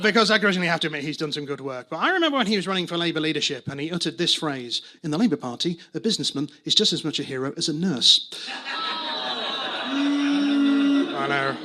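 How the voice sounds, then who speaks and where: A man's voice: a little high, sing-song, brisk, pushed and bright. A man from Manchester with a faint northern accent doing a rambling, self-interrupting stand-up set in a comedy club. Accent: faint Mancunian. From Manchester, England. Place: comedy club with live audience.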